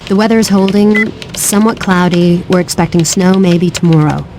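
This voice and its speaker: A woman's voice: on the low side, normal speed, darkish tone, soft background music, natural voice. A woman playing a businesslike, dry-witted mission controller briefing an agent in a video game cutscene. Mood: brisk, businesslike. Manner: businesslike, clipped, wry.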